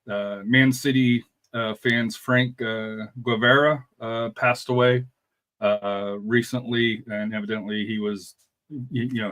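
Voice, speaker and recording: A man in a podcast chat with a low, flat, monotone voice. He speaks hesitantly, stalling on fillers and repeating words as he searches for what to say. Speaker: podcast co-host.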